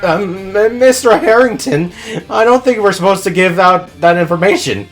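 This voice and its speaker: A man's voice: sits high, moderate pace, faint music behind, voice pushed up, natural voice. A man voicing a nervous young gang guard in a video game, hesitant and informal. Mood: flustered.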